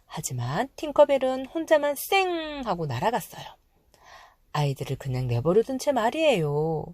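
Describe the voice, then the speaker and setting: A woman narrating a children's storybook from a script, with expressive, dramatized phrasing for young listeners. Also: medium pitch, dry recording.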